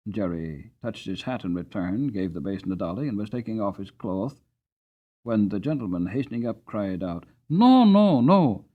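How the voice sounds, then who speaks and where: A male narrator reads a storybook aloud with plenty of drama. His pitch moves freely up and down, giving the telling an expressive, theatrical shape. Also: lo-fi sound. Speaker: audiobook narrator.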